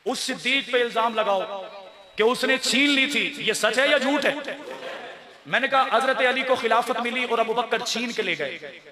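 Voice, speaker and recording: A man's voice: very high voice, expressive, moderate pace, noisy background. A man with a Pakistani accent preaching a fiery sermon, rhetorically repeating phrases and calling on listeners to answer. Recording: echoey PA with loud crowd behind. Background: crowd responding.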